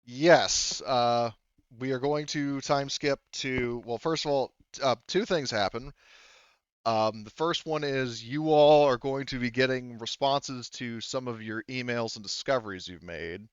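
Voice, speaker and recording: A man's voice: medium pitch, measured pace, clear, resonant tone, no echo, lo-fi sound. A man chatting casually and informally as game master of an online tabletop game, swearing freely. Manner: informal, profane.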